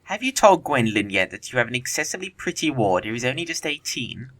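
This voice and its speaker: An actor playing a witty, idle young dandy with an arch manner, in a comedy audio play. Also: male voice, medium pitch, sing-song, quick pace, a bit bright. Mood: teasing.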